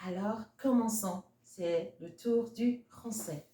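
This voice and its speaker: A woman's voice: medium pitch, takes it slowly, warm tone. A woman reading a picture book aloud expressively for young children, addressing her listeners as friends. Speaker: children's storybook reader. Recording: roomy, echoey sound.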